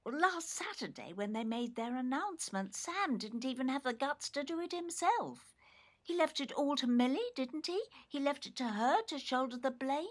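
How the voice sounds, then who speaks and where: An actress playing a hurt, resentful grown daughter in an audio play, with an English accent and an emotional, pleading manner. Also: female voice, sits high, animated, moderate pace. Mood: bitter, resentful. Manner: emotional, pleading, bitter emphasis. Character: hurt, resentful grown daughter, long overlooked little sister.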